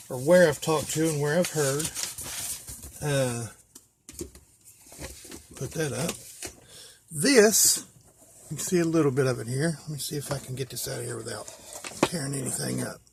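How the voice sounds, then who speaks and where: An American man from West Virginia talking casually and informally as he shows things, pausing to think aloud. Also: on the high side, creaky, slight background noise. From West Virginia, USA. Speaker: hobbyist vlogger. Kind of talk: casual show-and-tell.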